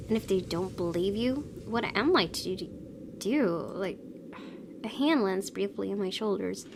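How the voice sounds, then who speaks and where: A woman's voice: medium pitch, lively intonation, unhurried, background noise. A young woman hosting a let's play, chatting casually and reading game text aloud. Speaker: gaming video host. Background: clear game music.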